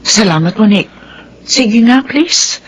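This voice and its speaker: A woman with a Filipino accent playing a gentle, weary, middle-aged wife and boss in a drama. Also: a little high, animated, measured pace, a little hiss or hum. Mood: weary, grateful. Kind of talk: dramatized story acting.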